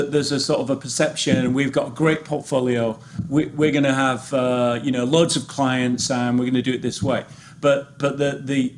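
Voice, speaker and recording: A man in his fifties hosts a podcast at a middle pitch, his thoughts rambling and doubling back as he talks. A faint hiss of background noise sits under his voice. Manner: rambling, restarting, conversational. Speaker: podcast host. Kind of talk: podcast interview.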